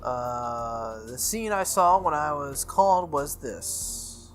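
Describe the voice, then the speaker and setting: A man hosting a game playthrough, reading a visual novel aloud in an animated way with casual asides. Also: a little high, slow pace. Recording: music playing behind the voice. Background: game music.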